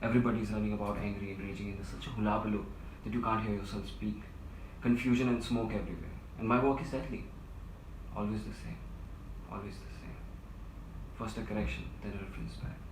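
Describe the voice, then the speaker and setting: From across an echoey room comes a desperate, worn-out civil servant played by a male actor in a theatrical drama. His low voice is full of self-pity and melodrama, pleading and ranting over a clearly noisy recording. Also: steady tone, quick pace, close to an everyday voice. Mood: exasperated complaining. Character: desperate, exhausted, self-pitying family man and civil servant. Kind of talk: theatrical stage-play dialogue. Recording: echoey room, distant mic, loud noise.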